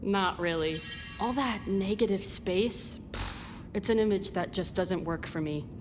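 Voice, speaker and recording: Woman playing abrasive vampire artist, medium pitch, offhand, dismissive, long reverb, game dialogue. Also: unhurried, over music, lightly acted. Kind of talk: video game voice acting.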